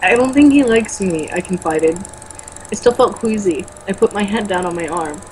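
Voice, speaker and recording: Woman reading aloud over clear background noise. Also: mid-range voice, lively intonation, normal speed. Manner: stumbles over words when reading, blunt and sweary in asides. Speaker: co-host reading a novel aloud with commentary. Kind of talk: amateur read-aloud with mocking casual commentary.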